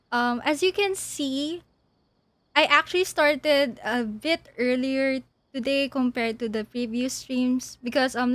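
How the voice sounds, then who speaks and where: A young woman from Asia hosting a livestream, chatting casually in a halting, giggly way. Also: high voice, fairly bright tone, dry recording. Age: young adult. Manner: giggly, frequently laughing mid-sentence. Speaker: livestream host. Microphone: mic on a boom arm.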